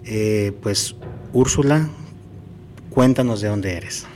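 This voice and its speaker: A man's voice: fairly low pitch, slow pace, darkish tone, slight background noise. A man hosting a podcast interview, speaking casually with many fillers and hesitations. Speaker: podcast host. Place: outdoors at a marathon event.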